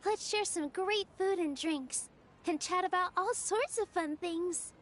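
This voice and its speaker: A woman voicing a gentle, gracious young girl with a welcoming manner in a video game. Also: extremely high pitch, measured pace, a little hiss or hum. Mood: warm, welcoming.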